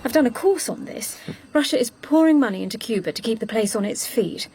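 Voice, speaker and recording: A capable secretary to a spy in a radio drama, with a fairly high voice. She is brisk and matter-of-fact, efficient and a touch prim. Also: animated, darkish tone. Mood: brisk, helpful. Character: brisk, efficient, slightly prim female secretary-assistant agent.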